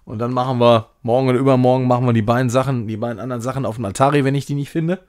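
A man's voice: medium pitch, fairly even intonation, racing, darkish tone. A man doing casual, jokey live-stream commentary as he plays a game, chatty and self-ironic. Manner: jokey, chatty, self-ironic, slipping into mock-grand English.

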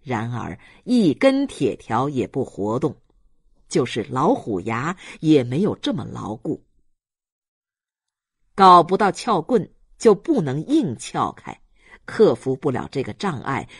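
A man reading a novel aloud as a dramatic, deliberate audiobook narrator. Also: medium pitch, huge pitch swings, husky, no room sound, clean studio sound.

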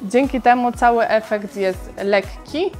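A woman architect calmly explaining her interior design choices in an interview, with occasional filler sounds. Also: on the high side, measured pace, soft background music.

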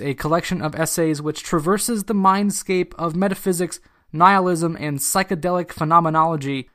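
A man's voice: fairly high pitch, fairly bright tone. A man hosting a podcast, reading an introduction and then chatting in a friendly, easygoing way. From United States. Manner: friendly, easygoing, laughs often. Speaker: podcast host. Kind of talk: scripted intro then casual interview.

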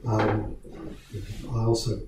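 A man's voice: lowish voice, monotone, takes it slowly, noisy background. A man from South Africa, an artist, talking thoughtfully and hesitantly about his work to a gallery audience, with many restarts. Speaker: artist in conversation. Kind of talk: gallery conversation. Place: small gallery room with seated audience. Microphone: far camera. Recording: echoey room from far off.

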